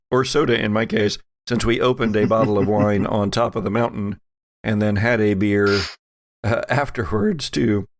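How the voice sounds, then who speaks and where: Guy running a tabletop game podcast, lowish gravelly voice, close dry sound. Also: moderate pace, dry recording. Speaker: podcast host and game master. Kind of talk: casual chat and tabletop game narration.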